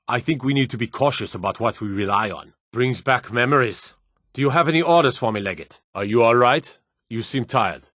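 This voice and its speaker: An actor playing a stern soldier of the town guard in a fantasy video game, with a clipped, martial delivery. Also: male voice, medium pitch, a bit bright, dry recording, clean studio sound, lightly acted. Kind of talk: video game character voice lines.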